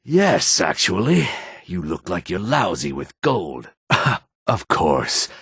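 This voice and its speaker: Husky, deep-voiced gruff lizard-man. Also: wildly swooping pitch, slow, fairly bright tone, no room sound, studio quality, full character voice. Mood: mocking, menacing. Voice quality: husky, raspy. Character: brash male Argonian lizard-man, tough and mercenary. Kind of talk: video game character dialogue.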